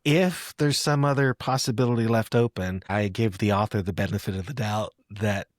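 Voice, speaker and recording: A man with a low voice hosts a casual literary discussion. He thinks aloud in a reflective, halting way, and the recording is very dry with hardly any room sound. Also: no echo. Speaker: podcast host.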